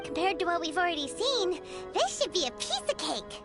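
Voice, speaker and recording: Squeaky, very high-pitched fairy sidekick. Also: female voice, big pitch swings, moderate pace, loud music behind the voice, heavily acted. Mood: cheerfully confident. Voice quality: squeaky, childlike. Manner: refers to self in third person, exclaiming. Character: tiny chirpy childlike female fairy companion, excitable and whiny. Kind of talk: anime-style game voice acting.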